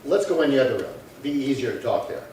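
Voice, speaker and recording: A man playing a calm, dutiful police sergeant in an old-time radio crime drama, speaking in a clipped, matter-of-fact, polite way. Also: medium pitch, fast, natural voice. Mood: polite, probing. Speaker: actor. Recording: echoey room from far off, faint noise.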